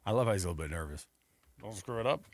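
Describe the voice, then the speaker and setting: A man's voice: fairly low pitch, expressive, very fast, no echo. A man hosting a sports talk show in a casual, conversational style. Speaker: sports talk radio host.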